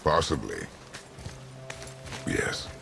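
A man playing a stern, stoic middle-aged warrior father in a video game, with a gravelly rumble and terse, commanding, formal phrasing. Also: very animated, extremely slow, over music, heavily acted. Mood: curt. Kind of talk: cinematic video game acting.

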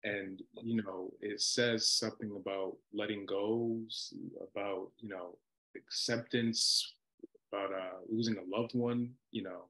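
A man's voice: on the low side, fairly even intonation, unhurried, fairly bright tone, poor audio. A man in his thirties sharing casual, reflective thoughts on a video call and circling back over phrases. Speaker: actor on a panel. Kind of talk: casual reflective panel remarks.

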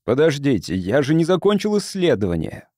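Man as an earnest, mellow visiting scientist. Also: a little high, very animated, brisk, no echo, studio quality, pitched above the performer's own voice. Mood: anxious, confused. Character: earnest, conciliatory male visiting sociologist from Earth.

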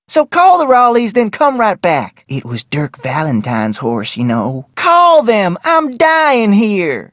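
An actress playing a chatty, bossy, easily rattled ranch cook in an adventure game, talking in a folksy, emphatic way. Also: female voice, on the low side, dry recording, studio quality. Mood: impatient, eager. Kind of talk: scripted adventure game character acting.

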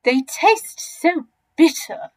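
Lady doing a smaller snarky croc for a kids story, high pitched, scoffing, really animated. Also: takes it slowly, poor audio. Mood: disgusted, firm. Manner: scoffing, emphatic. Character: smaller, scornful, contradicting crocodile, plain-spoken and mocking. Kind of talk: children's storybook character reading.

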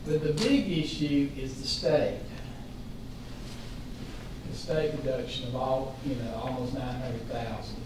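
A man explains cuts in a school budget at an unhurried, rambling pace, with plenty of fillers. He was picked up by a distant room mic. It sounds very echoey and hollow, with clear background noise. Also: mid-range voice. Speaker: school finance officer presenting budget. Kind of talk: budget presentation at a board meeting. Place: large meeting room.

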